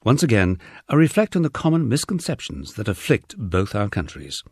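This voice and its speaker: Low-voiced man narrating with very lively intonation. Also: slightly rough, no room sound, studio quality. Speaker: audiobook narrator. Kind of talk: scripted memoir narration.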